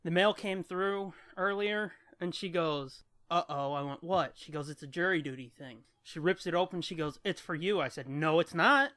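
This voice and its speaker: A man's voice: a little high, normal speed, few pauses, sharp tone, dry recording. Profane, irreverent American man chatting, dry close sound. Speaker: podcast co-host. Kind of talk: casual chat, storytelling.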